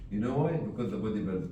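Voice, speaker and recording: A man telling animated anecdotes to a group of staff, acting out the dialogue. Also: lowish voice, dull audio. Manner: animated, acts out dialogue, laughs often. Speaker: charity founder telling stories to staff. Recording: echoey room from far off with background noise.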